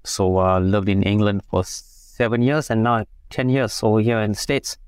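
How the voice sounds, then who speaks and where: A man in his forties, from South Africa, recounts his path into photography in an easygoing, conversational way, circling back with fillers like "you know" and repeated words. His voice sits low and sounds dry, close to the mic, with little room around it. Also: little pitch movement, normal speed, clean tone, no echo. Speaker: guest, photographer and business owner. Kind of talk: casual interview storytelling.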